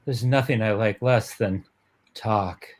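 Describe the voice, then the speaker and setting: A man's voice: lowish voice, slow pace. A man acting a devoted, earnest young boyfriend who woos his girl in an amateur dramatic reading of a romance comic. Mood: romantic.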